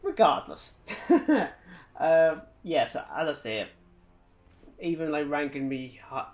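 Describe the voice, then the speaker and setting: A man's voice: fairly high pitch, very animated, takes it slowly, soft background music. Casual, blunt male podcast co-host. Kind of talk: casual film and game chat.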